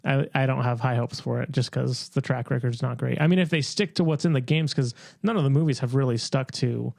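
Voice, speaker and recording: Gravelly, mellow man chatting casually. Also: medium pitch, a little fast, no echo, clean studio sound. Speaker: podcast co-host. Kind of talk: casual group chat about games and films.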